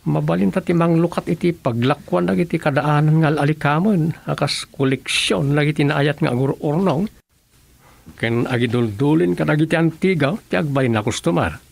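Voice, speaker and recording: A man's voice: on the high side, normal speed, vocal fry. A man acting as a practical, scheming townsman in an Ilocano radio comedy drama, with an Ilocano accent. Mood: eager, persuasive.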